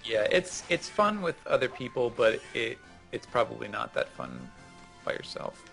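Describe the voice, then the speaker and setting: A man's voice: medium pitch, wildly swooping pitch, moderate pace, creaky, fairly bright tone, faint music behind. A man in casual gaming chat, explaining things as a co-host in a hesitant way, circling back over his phrases. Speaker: gaming co-host giving tips.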